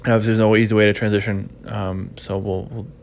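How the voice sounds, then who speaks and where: A man's voice: lowish voice, little pitch movement, fast talker, creaky, a little mellow, a little hiss or hum. A stuffy, congested man hosting a casual podcast recap, rambling and repeating himself as he thinks. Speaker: podcast host.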